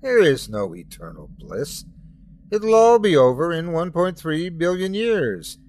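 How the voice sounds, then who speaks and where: A man's voice: fairly high pitch, very animated, unhurried, darkish tone, pitched above the performer's own voice. A man voicing an impassioned grown son who defies his divine father, speaking in a raised, intense voice well above his own. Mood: exasperated.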